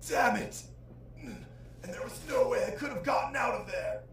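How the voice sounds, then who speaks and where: A man playing an arrogant, hot-tempered space warrior villain in an anime parody, pompous and gravelly. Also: very high voice, normal speed. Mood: furious. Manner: pompous, mocking. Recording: echoey playback with faint noise.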